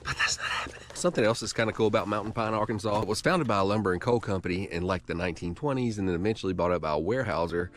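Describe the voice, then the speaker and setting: A man's voice: lowish voice, very fast, fairly bright tone. A man in his thirties talking casually to his camera on a cold outdoor hike, rambling loosely with lots of "like" and blunt, profane asides. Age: 30s. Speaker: hiking vlogger. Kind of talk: casual vlog talk to camera. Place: outdoors on a cold winter lake trail and in a parked truck. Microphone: handheld action camera.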